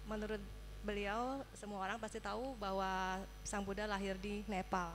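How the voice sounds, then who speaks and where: A woman's voice: medium pitch, flat delivery, fairly quick. A woman from Indonesia working as a live interpreter, relaying a religious talk sentence by sentence. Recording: faint electrical hum.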